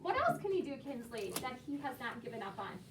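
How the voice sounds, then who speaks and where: A woman's voice: a little high, big pitch swings, brisk. An American woman teaching a class of children, encouraging them and prompting with questions. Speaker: elementary school teacher. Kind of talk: leading a class discussion of a read-aloud. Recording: roomy, noisy classroom, distant mic.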